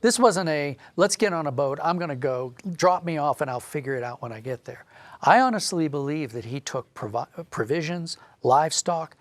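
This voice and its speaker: A man's voice: a little high, animated, moderate pace, no echo. A man speaking as an interview guest, explaining history in a discursive, thoughtful, conversational way. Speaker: interview guest, audio drama writer.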